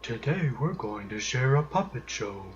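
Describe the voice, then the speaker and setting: A man's voice: mid-range voice, big pitch swings, normal speed, lo-fi sound, slight background noise, natural voice. A man voicing a nice, naive puppet who hosts and narrates a children's story show. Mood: eager.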